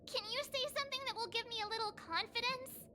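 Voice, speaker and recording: An actress playing an eager but nervous teenage girl learning swordplay in an anime-style video game, expressive and girlish. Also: very high voice, fast talker, noisy background. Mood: nervous pleading.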